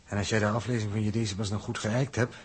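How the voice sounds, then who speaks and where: A man's voice: fairly low pitch, steady tone, lightly acted. A man as an earnest astronaut, noisy vintage radio play. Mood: grave. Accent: Netherlands Dutch. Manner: serious, technical, measured. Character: earnest, precise male astronaut doing the calculations. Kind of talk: 1960s Dutch radio drama acting.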